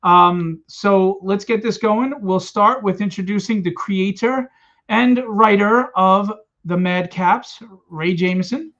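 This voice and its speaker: A man's voice: sits high, slow, fairly bright tone. A man hosting a livestream launch party for his comic, joking and teasing his guests as he hypes the campaign. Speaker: host and comic publisher. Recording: dull lo-fi stream audio.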